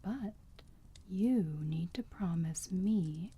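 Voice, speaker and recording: A woman playing a gentle, protective, motherly vampire in a whispered ASMR roleplay, speaking softly and soothingly in a breathy voice close to the mic. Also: lowish voice, lively intonation, exaggerated voice. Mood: earnest, gentle. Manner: soft, slow, cooing, soothing.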